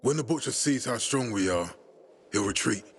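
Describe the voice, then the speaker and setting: A man's voice: medium pitch, expressive, normal speed, a little hiss or hum, lightly acted. An actor playing a stern, hot-tempered male military commander giving curt orders. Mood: commanding.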